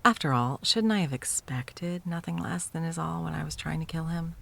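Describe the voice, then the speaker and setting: A woman's voice: sits low, normal speed, fairly bright tone, full character voice. A woman acting an arrogant, centuries-old immortal sorceress tyrant in an ASMR roleplay, teasing and theatrical, at times slipping into whispers. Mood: musing, amused. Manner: mocking, purring, theatrical, slipping into breathy whispers.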